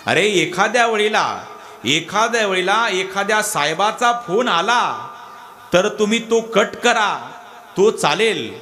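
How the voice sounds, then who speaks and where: A man preaches in a rural Maharashtrian Marathi accent, with a high, bright voice that swings widely in pitch. He tells devotional stories with emotion and drives points home by repeating them straight to his listeners. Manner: emotive, repeats lines for emphasis, addresses listeners directly. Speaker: Varkari kirtan preacher. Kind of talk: devotional sermon with dramatic storytelling.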